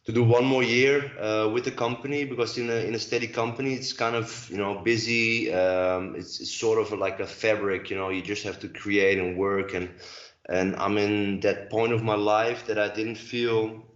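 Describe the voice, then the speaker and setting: A Dutch-accented man in his forties talks through a dull, lo-fi call from a roomy, echoing space at home. His voice sits fairly low, and he thinks aloud with many ums and restarts. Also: flat delivery, moderate pace. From Netherlands. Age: 40s. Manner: hesitant, many fillers and restarts. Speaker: guest, dancer and choreographer. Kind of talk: casual interview conversation.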